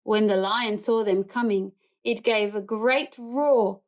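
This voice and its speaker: A woman reading a picture book aloud to children in an expressive, animated storytelling way. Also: mid-range voice, takes it slowly. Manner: animated, acts out characters and animal noises.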